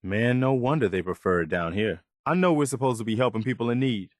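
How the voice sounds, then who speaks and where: An actor playing an earnest, dutiful militia soldier in a post-apocalyptic video game, speaking in a plain, folksy way. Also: male voice, medium pitch, brisk, no echo, clean studio sound, lightly acted. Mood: earnest, wary. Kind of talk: video game companion voice lines.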